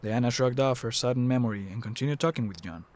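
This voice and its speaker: A man's voice: mid-range voice, fast talker, a little mellow. A man narrating an audio drama in a scripted storytelling style.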